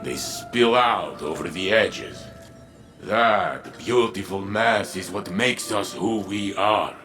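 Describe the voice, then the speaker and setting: A man's voice: low voice, slow, bright, forceful tone, faint music behind, exaggerated voice. A man playing a noble, philosophical robot warrior in a video game, speaking in a grand, formal, self-assured way. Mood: reflective. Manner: grand, declamatory, formal phrasing without contractions. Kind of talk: scripted game dialogue.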